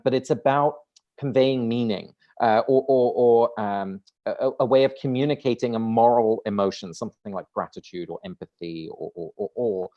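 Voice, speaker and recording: A man's voice: medium pitch, darkish tone, dull audio. A man in his thirties, a native Dutch speaker, talking through ideas as an interview guest, often restarting and repeating words. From Netherlands. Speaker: guest author. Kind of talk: conversational interview.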